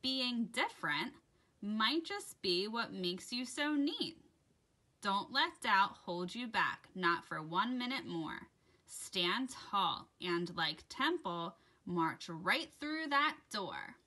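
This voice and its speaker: Bright, animated woman reading a children's story. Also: mid-range voice. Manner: dramatic, deliberate storytelling delivery addressed to children. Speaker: children's story time host and reader. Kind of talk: expressive read-aloud of a rhyming children's picture book.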